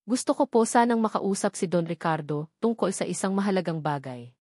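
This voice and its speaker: A woman's voice: fairly low pitch, animated, brisk, a little mellow, dry recording, clean studio sound. Determined woman lawyer, read by a female narrator. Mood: insistent. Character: determined, earnest woman lawyer pursuing the truth. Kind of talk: dramatic storybook narration dialogue.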